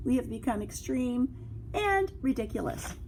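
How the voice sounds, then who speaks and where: A woman teacher reading a picture book aloud to children, expressive and exclaiming, often breaking off to draw her listeners in with questions. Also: a little high, moderate pace, a little hiss or hum. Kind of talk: read-aloud storytelling with asides and questions to young listeners.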